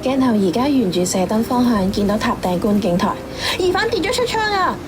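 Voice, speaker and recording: Woman acting high-pitched urgent Cantonese reporter. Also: big pitch swings, fairly quick, noisy background. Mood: alarmed, urgent. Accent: Hong Kong Cantonese. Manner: urgent running commentary. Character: excited female live news reporter at a disaster. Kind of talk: radio drama news report.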